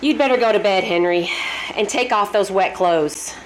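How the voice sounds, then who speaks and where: An actress playing an impatient, worried, suspicious wife in old-time radio drama style, with insistent, questioning delivery. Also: female voice, medium pitch, moderate pace, lightly acted. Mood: impatient, wounded. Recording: noisy live stage performance recording.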